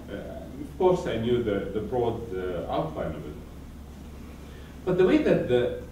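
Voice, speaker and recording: Thirtyish man from Iraq, hesitant conference speaker recorded from a distance with room noise. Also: medium pitch. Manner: thoughtful, hesitant, many fillers and restarts. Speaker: panelist, activist. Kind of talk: conference talk. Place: conference hall. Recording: echoey hall, distant mic, audible room noise.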